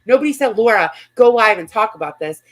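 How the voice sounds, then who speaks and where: A woman talks casually as a livestream panel host, with a middling pitch. Her manner is blunt and informal, and she swears freely as she gives gossipy commentary. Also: animated, brisk.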